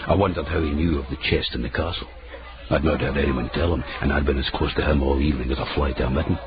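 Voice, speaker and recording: An actor playing a watchful, wry Scottish manservant who narrates his own tale in a measured, dry way for a radio drama. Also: rumbling low, steady tone, over a noisy crowd. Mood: wry, brooding. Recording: muffled radio broadcast, clear background noise.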